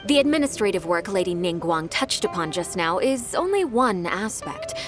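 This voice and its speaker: A woman voicing a dutiful, efficient secretary in a video game, polite, businesslike and expressive. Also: medium pitch, moderate pace, close to an everyday voice. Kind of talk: scripted video game dialogue. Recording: background music behind voice.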